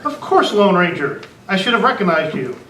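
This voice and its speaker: In a roomy space away from the mic, a man acts a helpful, officious train conductor with a high voice. He is courteous, in old radio western style. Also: big pitch swings, moderate pace, bright, forceful tone, exaggerated voice. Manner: calling out, courteous. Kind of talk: amateur old-time radio western re-enactment. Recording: echoey noisy meeting room, distant mic.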